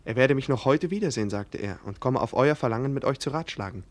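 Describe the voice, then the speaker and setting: Casting: man playing obedient young aristocrat, medium-pitched, earnest and formal, vintage radio drama. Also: expressive, quick pace, natural voice. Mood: earnest, open. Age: young adult. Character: dutiful, open-hearted young nobleman, obedient son. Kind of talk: 1940s German radio play acting.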